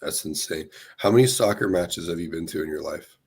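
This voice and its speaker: A man with a gruff, slightly hoarse voice, co-hosting a podcast and asking dry, wry questions in casual chat. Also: deep voice, fairly quick, a little mellow. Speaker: podcast co-host.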